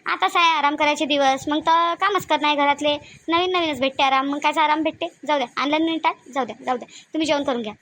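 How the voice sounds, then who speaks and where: A woman's voice: sits high, steady tone, quick pace, a bit bright. A woman voicing village women of a family in a homemade cartoon, talking colloquially and expressively with a Vidarbha Marathi accent. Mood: caring, chatty. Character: women of a village family: shy bride, chatty sisters-in-law, scolding aunt.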